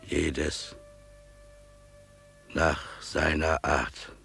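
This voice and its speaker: Very deep, gravelly solemn humble man. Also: fairly even intonation, a bit bright, faint music behind, exaggerated voice. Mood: solemn, reverent. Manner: slow, measured, solemn delivery. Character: solemn, humble animal keeper, husband and father. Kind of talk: literary German radio drama acting.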